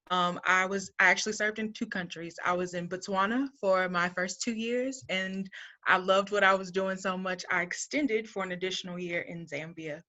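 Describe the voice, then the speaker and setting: A woman's voice: mid-range voice, bright, forceful tone. A woman in her thirties from Alabama, a recruiter giving an upbeat, earnest presentation to students over a video call, laughing lightly between points. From Montgomery, Alabama, US.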